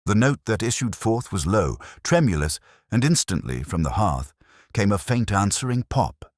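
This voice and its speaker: A man narrating a detective story in a dramatic, measured and suspenseful way. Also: fairly low pitch, sing-song, dry recording, studio quality. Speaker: audiobook narrator.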